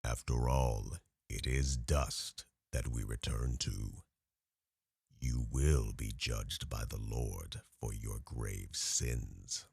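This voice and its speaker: Very deep, gravelly man acting a vampire hunter. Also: steady tone, takes it slowly, darkish tone, no echo, clean studio sound, heavily acted. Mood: taunting, righteous. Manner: formal, taunting, theatrical speech. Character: menacing, pious male vampire hunter with mocking gentlemanly courtesy. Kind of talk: ASMR roleplay acting.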